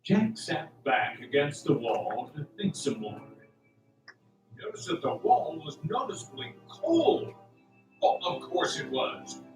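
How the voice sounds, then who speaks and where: A man's voice: a little high, huge pitch swings, takes it slowly. A man narrating a scripted audio drama in a theatrical, suspenseful storytelling style. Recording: roomy, distant, music underneath.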